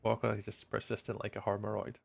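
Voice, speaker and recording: A young Scottish man from the east coast, live-streaming and chatting to viewers in a teasing, up-and-down way, from loud bursts to low mutters. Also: monotone, quick pace, gravelly, no room sound, faint music behind. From east coast of Scotland. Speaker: livestreamer.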